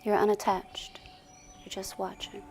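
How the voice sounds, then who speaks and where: Woman, meditation guide, creaky soft voice, very even intonation, soothing, unhurried pauses, music underneath. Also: medium pitch, whispered. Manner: soothing, slow and deliberate with long pauses.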